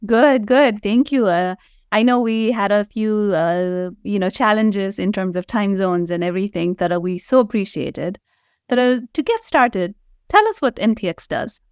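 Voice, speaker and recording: A woman's voice: mid-range voice, expressive, normal speed, darkish tone, clean tone, no room sound, studio quality. A woman hosting a podcast interview in a conversational way.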